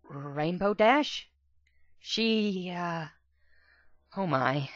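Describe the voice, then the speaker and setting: A woman's voice: fairly low pitch, animated, extremely slow, no room sound, muffled recording. A woman playing a polite, hesitant young female pony who is unsure of herself and tends to stammer. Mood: flustered. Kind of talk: dramatic reading of fan fiction.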